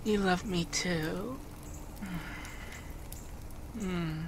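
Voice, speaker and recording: A man playing an affectionate, teasing femboy monster companion in a soft-spoken ASMR roleplay, with an intimate, breathy, half-whispered delivery. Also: high voice, extremely slow, a little hiss or hum, full character voice.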